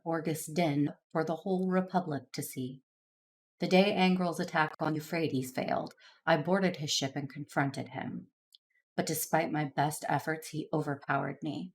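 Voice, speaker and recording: An actress playing a stern, wounded and suspicious Jedi master in a science fiction audio drama. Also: female voice, deep voice, slight background noise. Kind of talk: audio drama narration and dialogue.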